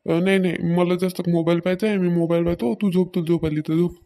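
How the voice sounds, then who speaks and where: A man acts out a stingy village farmer husband from a comedy cartoon. His voice sits high for a man and is mellow and fairly monotone. He grumbles and nags in a rural Maharashtra Marathi accent. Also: fast. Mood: matter-of-fact. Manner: nagging, complaining.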